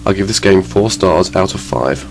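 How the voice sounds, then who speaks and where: A man reading a scripted game review in a measured, even way, over loud background music. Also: low-pitched, creaky.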